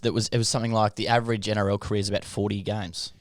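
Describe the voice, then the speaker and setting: A man's voice: fairly low pitch, little pitch movement, racing, no echo. An Australian man hosting a podcast, chatting in a blokey, informal and slangy way. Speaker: podcast host. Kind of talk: casual, slangy podcast chat.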